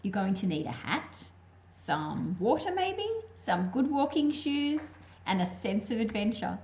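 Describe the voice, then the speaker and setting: A woman hosting a children's read-aloud, speaking in simple, deliberate phrases as if straight to young listeners. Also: medium pitch, sing-song, darkish tone. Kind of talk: slow picture-book read-aloud with friendly asides to young viewers.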